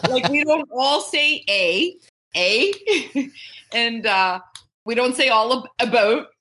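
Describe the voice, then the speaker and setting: A woman's voice: fairly high pitch, expressive, slow pace, pushed and bright. A woman in her fifties from Canada, whose first language was Serbian, chatting as a podcast guest over a video call, laughing often and restarting words. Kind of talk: casual interview chat.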